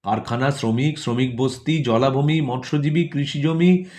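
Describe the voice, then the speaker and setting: A man's voice: medium pitch, steady tone, normal speed, darkish tone. A man narrating a satirical audio play theatrically, in rhythmic, repeating phrases with a note of mock wonder.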